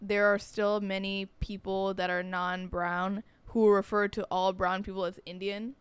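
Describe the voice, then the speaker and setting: Clear, smooth-voiced young woman. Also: mid-range voice, flat delivery, a little slow, dry recording. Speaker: podcast co-host. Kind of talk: casual podcast chat.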